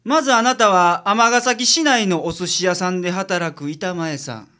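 A man playing a brisk, slightly weary private detective with a Kansai accent in a radio drama. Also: sits high, pushed and bright. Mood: businesslike. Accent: Kansai Japanese. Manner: businesslike, exasperated, swinging delivery. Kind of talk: community radio drama acting.

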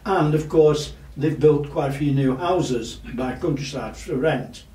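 A loud-voiced man talks through local matters as a councillor, full of pauses and fillers. His tone is mellow and dark. He sits away from the mic in a room with echo. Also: a little high. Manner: loud voice, halting with many fillers. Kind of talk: casual interview talk. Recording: echoey room, distant mic.

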